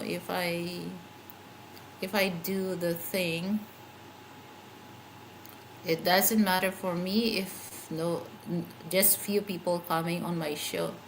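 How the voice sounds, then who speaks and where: A woman's voice: mid-range voice, steady tone, slow, rough recording. Woman, Filipino accent, middle-aged, channel host, casual livestream chat, rambling, faint room hiss. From Philippines. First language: a Philippine language. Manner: rambling, chatty, searching for words.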